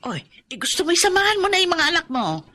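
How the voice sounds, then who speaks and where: A woman's voice: very high voice, huge pitch swings, fast, sharp tone, full character voice. An actress playing a friendly, hospitable provincial woman in a Filipino radio drama. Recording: noisy ambience behind the voice.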